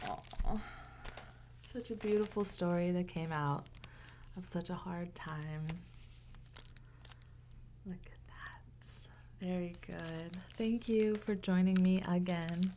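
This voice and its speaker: A woman reading a picture book aloud to children in a gentle, unhurried way, with casual asides. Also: lowish voice, fairly even intonation, a little hiss or hum. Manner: gentle, unhurried, pausing between short phrases.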